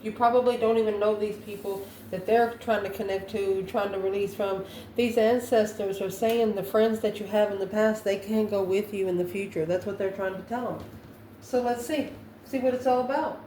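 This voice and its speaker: A woman giving an improvised spiritual tarot reading to viewers, musing in a halting way and posing questions to herself. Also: mid-range voice, steady tone, mellow. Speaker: tarot card reader, channel host. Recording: echoey room, distant mic, faint noise.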